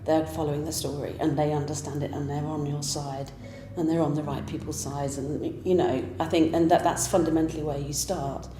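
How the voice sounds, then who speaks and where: A British woman with a very low, warm and mellow voice makes her points with firm emphasis in a relaxed conversation. Her voice carries a roomy echo from the space. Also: brisk, a little hiss or hum. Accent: British English. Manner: emphatic, firmly opinionated. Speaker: pantomime writer and director. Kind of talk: casual conversational interview.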